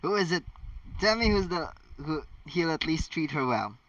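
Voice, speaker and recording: A man's voice: a little high, animated, moderate pace, fairly bright tone, dry recording, distant mic, highs cut off, voice pushed up. A man voicing a resigned, easygoing father in a storybook reading.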